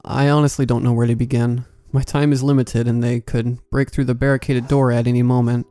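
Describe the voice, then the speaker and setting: A man giving a measured, calm, scripted narration of horror stories. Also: mid-range voice, clean tone, no room sound, soft background music.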